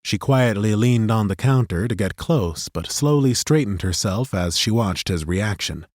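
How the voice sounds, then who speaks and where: Steady, low-voiced male audiobook narrator. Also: no room sound, studio quality. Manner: steady, measured storytelling. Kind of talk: scripted fantasy narration. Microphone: studio microphone.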